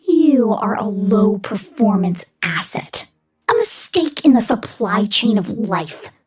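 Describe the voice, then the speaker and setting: A woman's voice: mid-range voice, very animated, takes it slowly, darkish tone, soft background music, exaggerated voice. A woman voicing a domineering, sadistic female corporate killer robot in a menacing, half-whispered ASMR roleplay monologue. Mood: contemptuous, commanding. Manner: menacing, contemptuous, commanding, breathy half-whispered delivery.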